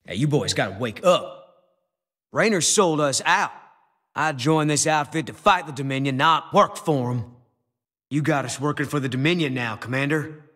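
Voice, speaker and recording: A man's voice: a little high, moderate pace, a bit bright, no room sound, clean studio sound. An actor playing a cynical, insubordinate male spaceship crewman in a video game, with a slangy, blue-collar, sardonic manner. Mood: bitter scorn. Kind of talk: video game character barks.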